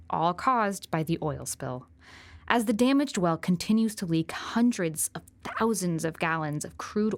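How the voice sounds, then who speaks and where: A woman's voice: on the low side, dry recording, close to an everyday voice. A woman playing an earnest public radio field reporter with a composed, careful journalistic delivery. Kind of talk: scripted news narration and interviewing.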